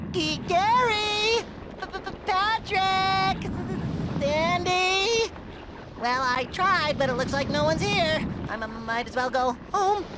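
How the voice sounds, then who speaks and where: A naive young cartoon sponge with a very high voice. He is eager, earnest and theatrical, speaking slowly for a video game. There is clear noise behind him. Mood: timid searching.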